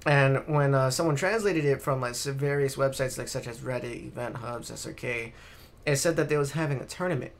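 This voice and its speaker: A man's voice: medium pitch, a little fast, gravelly, a little hiss or hum. A man speaks as co-host on a casual gaming show. He recounts things step by step like someone telling friends a story. His wording is informal and slangy, with some swearing. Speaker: podcast co-host. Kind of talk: casual anecdotal gaming chat.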